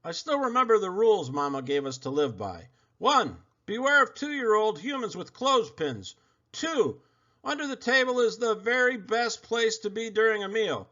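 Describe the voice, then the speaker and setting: A man's voice: high-pitched, sing-song, moderate pace, sharp tone, muffled recording, voice pushed up, heavily acted. A man voicing a blunt, talkative female pet dog who rambles on in a children's storybook. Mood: rambling, eager. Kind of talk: children's storybook read-aloud.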